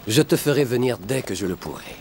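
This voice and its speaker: A man playing a loving, courteous, wealthy father in a French cartoon dub, gentle and earnest. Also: mid-range voice, lively intonation, fairly quick, noisy background, lightly acted. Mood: tender, reassuring.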